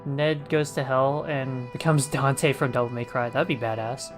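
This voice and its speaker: A man gives casual livestream commentary on a game in a middle-pitched voice. He reacts loosely and jokingly, off the cuff. Soft game music runs behind him. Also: steady tone, normal speed. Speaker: streamer.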